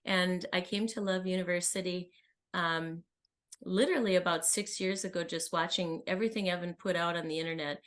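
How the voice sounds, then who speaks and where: A woman's voice: fairly low pitch, fairly even intonation, fairly quick, a bit bright. A woman in her early sixties, a coaching client talking candidly and chattily as a guest. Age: early 60s. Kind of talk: conversational personal account and question.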